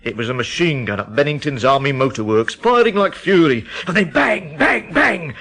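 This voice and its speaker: A man's voice: on the high side, animated, moderate pace, a bit bright. An actor playing an alarmed, agitated man from the English Midlands in a radio drama, telling things with dramatic urgency. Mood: urgent, agitated.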